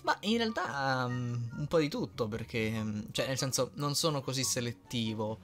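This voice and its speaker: A man's voice: medium pitch, lively intonation, normal speed, fairly bright tone. Young guy, easygoing casual q&a, bit hesitant, faint music behind him. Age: young adult. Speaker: dubbing content creator answering fan questions. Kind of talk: casual question-and-answer chat.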